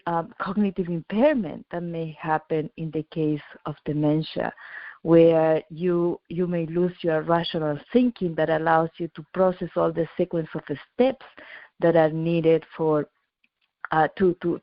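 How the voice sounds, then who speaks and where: A woman's voice: low voice, no room sound, highs cut off. A middle-aged woman from Chile, an engineer and company founder, speaking as a radio interview guest in an earnest way, restarting often and repeating words.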